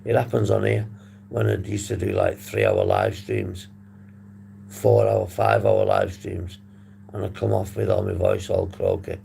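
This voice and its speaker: A man hosting a casual livestream, hoarse and husky, rambling and swearing as he reads the chat. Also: sits low, sing-song, unhurried. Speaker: livestream host. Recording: noisy background hum.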